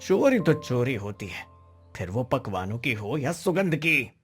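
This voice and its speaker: A man's voice: mid-range voice, big pitch swings, a little slow, fairly bright tone, faint music behind. A man voicing an angry, accusing, stingy sweet-shop owner in a cartoon story. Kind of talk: Hindi cartoon story acting.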